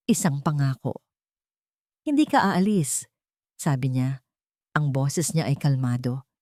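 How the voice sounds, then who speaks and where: A woman with a Filipino accent narrating a dramatic scripted story in deliberate, short phrases with pauses. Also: low-pitched, a little mellow, no room sound, clean studio sound.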